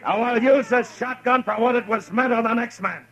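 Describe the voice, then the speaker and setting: An actor playing a stern, terse frontier marshal in a 1950s radio western. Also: male voice, extremely high pitch, moderate pace, fairly bright tone, exaggerated voice. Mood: grim. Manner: terse, commanding, grave. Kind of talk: 1950s radio drama acting. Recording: muffled vintage radio recording.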